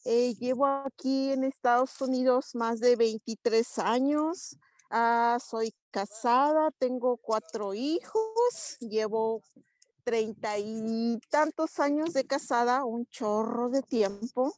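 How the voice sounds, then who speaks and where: A woman in her fifties from Mexico City, a guest introducing herself in an unhurried, chatty way. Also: a little high, a little mellow, dry recording. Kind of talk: conversational interview.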